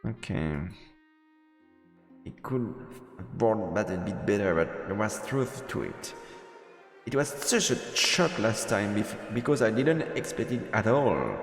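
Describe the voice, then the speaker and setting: A man streaming a visual novel, reading the story aloud as dramatic narration with a halting delivery that stumbles over words. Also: lowish voice, expressive. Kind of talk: dramatic narration of game text. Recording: reverberant, echoey room sound. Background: game music.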